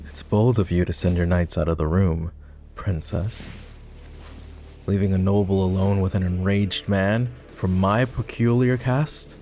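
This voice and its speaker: Proud, bitter war-hero knight for fantasy roleplay ASMR, male actor; lowish pitch, sardonic delivery, soft music underneath. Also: steady tone, a little slow. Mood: defiant, scornful. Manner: scornful, dramatic, sardonic.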